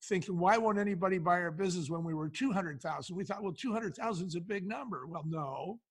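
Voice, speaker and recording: A man's voice: high-pitched, quick pace, husky. A man speaking as an interview guest, explaining business advice conversationally and posing questions that he then answers. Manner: explanatory, poses questions then answers them, acts out dialogue. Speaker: podcast guest, business founder.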